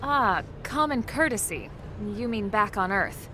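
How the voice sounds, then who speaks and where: An actress playing a cool, shrewd squad commander in a game, dry, measured and skeptical. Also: female voice, medium pitch, lively intonation, background noise, lightly acted. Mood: dryly amused. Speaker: voice actor in a game cutscene. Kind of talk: game cutscene acting. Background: music.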